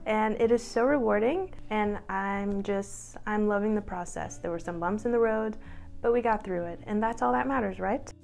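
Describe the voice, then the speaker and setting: A woman's voice: medium pitch, normal speed, vocal fry, a little mellow. Young woman vlogging from home, chatty and upbeat, faint music under her voice. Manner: chatty and upbeat, laughs at herself. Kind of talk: casual chat to camera.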